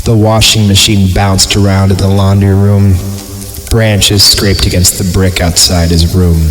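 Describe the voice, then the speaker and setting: An American man hosting a podcast, reading a story aloud in a mock-dramatic style with irreverent, joking asides. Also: sits low. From Fresno, California. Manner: irreverent, jokey. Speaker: podcast host reading horror stories aloud. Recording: music bed behind the voice. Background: music.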